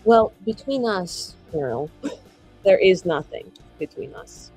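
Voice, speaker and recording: A woman voicing a sardonic, defiant young mage in a tabletop game, husky and drawling, with a dismissive, sarcastic manner. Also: medium pitch, sing-song, slow, warm tone, exaggerated voice, low-quality recording. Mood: guarded, mocking. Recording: music behind the voice.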